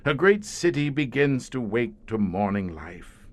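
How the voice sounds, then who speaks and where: A man's voice: mid-range voice, very animated. An actor playing a haunted older police inspector in a radio horror drama, brooding and theatrical in his delivery. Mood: bleak, resigned. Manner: slow, brooding, grandiose and theatrical. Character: haunted, doomed older male tunnel police inspector, slowly turning monstrous.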